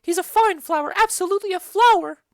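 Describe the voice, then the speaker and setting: A woman voicing a chattering, bawdy old female nurse in a dramatic reading of a play, rambling and loud. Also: very high-pitched, fast, no room sound, clean studio sound. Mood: gossipy. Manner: loud, rambling, giggly storytelling.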